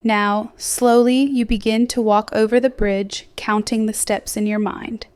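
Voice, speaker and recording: A woman gently guiding a sleep hypnosis meditation in a slow, soothing, scripted delivery with softly trailing phrases. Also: a little high, fairly even intonation, smooth, no echo, studio quality. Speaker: hypnosis guide.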